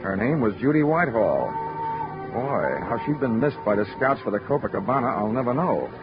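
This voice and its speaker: An actor playing a wry, flirtatious insurance investigator with deadpan delivery in 1940s radio detective drama. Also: male voice, mid-range voice, a little fast, close to an everyday voice. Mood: wry, dry. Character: wisecracking flirtatious male freelance insurance investigator. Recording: dull vintage radio recording with music behind.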